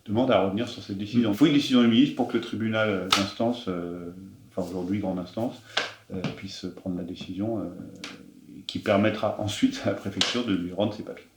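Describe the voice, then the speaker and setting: A man, a member of parliament, explaining a case in a measured way, full of fillers, growing animated when indignant. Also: fairly low pitch, background noise. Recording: echoey room.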